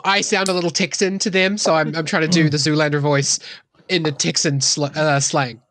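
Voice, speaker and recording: A man's voice: on the high side, steady tone, fairly quick, a bit bright, no echo. A man playing a tabletop game, chatting casually and explaining his actions as he improvises. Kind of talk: casual improvised role-play chat.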